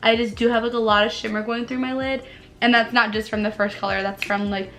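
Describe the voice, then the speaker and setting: A young woman vlogger chatting bubbly and casually through a makeup tutorial, her voice a little hoarse from allergies, with lots of "like" fillers. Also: fairly high pitch, little pitch movement, a little fast, a bit bright. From United States. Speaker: beauty vlogger.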